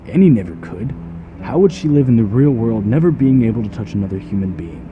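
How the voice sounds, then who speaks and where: A man playing an earnest, protective young soldier in an audio drama, measured and confiding. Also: fairly low pitch, expressive, phone-quality sound, lightly acted. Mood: earnest, firm. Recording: music playing behind the voice.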